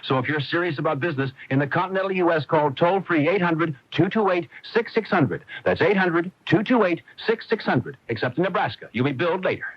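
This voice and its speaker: A man's voice: on the high side, lively intonation, a little fast, hardly any pauses, no room sound. An American man reading a direct-response radio advertisement on an old broadcast recording. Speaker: commercial announcer. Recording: dull lo-fi radio commercial.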